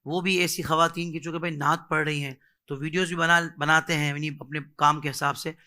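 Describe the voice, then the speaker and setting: A man's voice: on the high side, level tone, brisk, pushed and bright. A man hosting a respectful religious interview, deferential in manner and given to devout interjections. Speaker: host interviewing a religious scholar.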